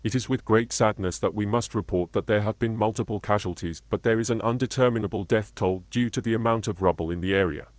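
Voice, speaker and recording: A man reading a scripted emergency broadcast announcement in a formal, measured manner, as a continuity announcer. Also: on the low side, dry recording.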